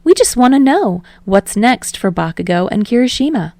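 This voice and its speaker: A prying celebrity interviewer acted by a woman reader presses with knowing eagerness. The voice has some creak and sits close and dry, in audio-story style. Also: a little high, sing-song, normal speed, a little mellow, no echo, pitched above the performer's own voice. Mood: prying, playful.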